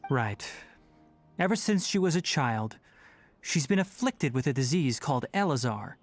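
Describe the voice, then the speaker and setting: An actor playing a calm, scholarly young male forest ranger in a video game, speaking in an articulate, measured way. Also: medium pitch. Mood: grave, explanatory. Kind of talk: scripted video game dialogue. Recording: music behind the voice.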